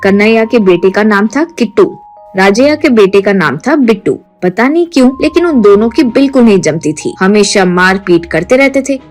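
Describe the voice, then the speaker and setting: A woman's voice: medium pitch, animated, moderate pace, faint music behind. A woman reading scripted story narration as the narrator.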